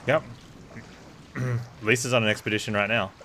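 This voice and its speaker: A man's voice: medium pitch, steady tone, moderate pace, bright, forceful tone, slight background noise. A man streaming a game with casual, rambling, opinionated commentary. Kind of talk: casual live gameplay commentary. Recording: game music and effects behind.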